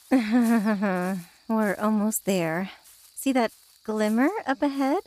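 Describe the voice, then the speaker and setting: Woman as a slow, alluring, sly water spirit. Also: mid-range voice, darkish tone, slight background noise, rough recording. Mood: coy. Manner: coy, seductive, faintly mocking. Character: alluring, sly blue-skinned water woman, secretly menacing.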